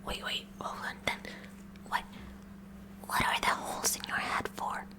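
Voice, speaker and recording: A woman playing a kooky, curious high school science club president in a whispered ASMR roleplay, softly and hesitantly chatty. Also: a little slow, lightly acted. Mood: curious, probing. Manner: soft, hesitant, inquisitive, chatty.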